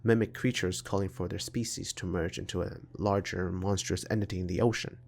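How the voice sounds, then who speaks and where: A man's voice: deep voice, fairly even intonation, fairly quick, creaky, a little mellow. A man reading a scripted analytical video essay in a formal, academic manner. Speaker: video essay narrator and amateur filmmaker.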